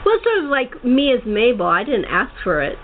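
A woman hosting a casual interview chat, talking in a halting, rambling way with repeated words. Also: a little high, sing-song. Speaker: radio show host and voice actor. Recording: tinny phone line with clear noise.

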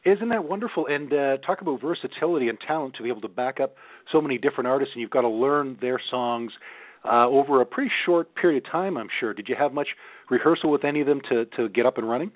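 A man's voice: medium pitch, fast, no echo. A man interviewing a guest in a conversational, encouraging way. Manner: affirming, encouraging. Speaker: radio interviewer. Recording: narrowband phone-like radio sound.

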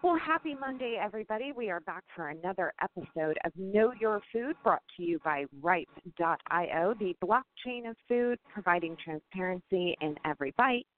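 An upbeat woman hosts a radio show and speaks with plain enthusiasm. Her voice sits at a middle pitch for a woman. The sound is narrow and tinny, like a phone line. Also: lively intonation, moderate pace, few pauses. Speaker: podcast host.

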